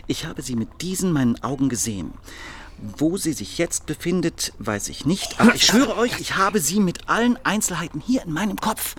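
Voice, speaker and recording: An actor playing a wily captive Greek monk in a German radio drama, arguing slippery and swearing pompous oaths. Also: male voice, on the high side, expressive, normal speed, fairly bright tone, slight background noise. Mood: pleading, scheming.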